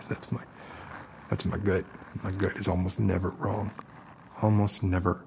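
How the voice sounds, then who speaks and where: A man in his thirties doing an ASMR roleplay as an unstable, friendly loner, soft-spoken and rambling in halting mutters. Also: low-pitched, flat delivery, vocal fry, a little hiss or hum. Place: basement-like room with concrete walls.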